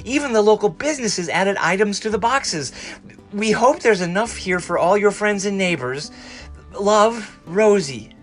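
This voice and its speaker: Man voicing an eager, high-pitched schoolgirl. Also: a little slow, faint music behind. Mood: excited, earnest. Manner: bright, excited, earnest. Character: eager, generous American schoolgirl writing a letter.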